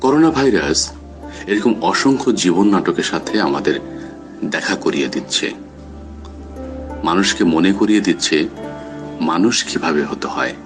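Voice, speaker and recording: Very deep solemn male narrator. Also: animated, moderate pace, long pauses. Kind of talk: solemn scripted drama narration. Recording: music behind the voice.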